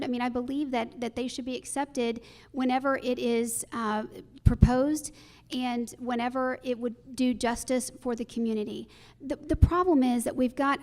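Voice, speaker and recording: A woman's voice: a little high, darkish tone. A woman judge running for election, answering at a forum emphatically and repeating her key phrases. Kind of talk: candidate forum answers, campaign speech.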